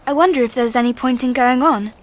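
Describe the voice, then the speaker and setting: A woman's voice: high-pitched, quick pace, lightly acted. A woman playing a sensible, cautious schoolgirl older sister with a British accent in a radio drama. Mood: anxious.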